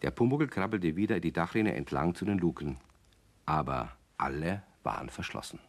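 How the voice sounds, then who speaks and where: Avuncular male narrator, low-pitched, animated intonation, gently wry, children's radio play storytelling. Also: normal speed. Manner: addresses child listeners directly, wry.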